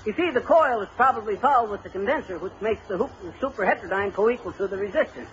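An American actor playing a boastful, bumbling husband with folksy, cocky patter in an old radio comedy. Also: male voice, high voice, expressive, fast, a crowd in the background. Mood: breezy, scheming. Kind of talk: 1930s-40s radio comedy acting. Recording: dull, noisy old radio recording.

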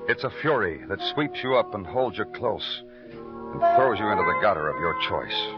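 Hard, brooding American police detective, low voice with dramatic swings, old radio crime drama, muffled vintage recording. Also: male voice, sing-song, moderate pace. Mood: brooding, bitter. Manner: clipped accusations, florid brooding monologue. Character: hard, relentless male police detective, brooding poetic narrator. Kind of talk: 1950s radio noir acting. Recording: dull old radio recording, faint music.

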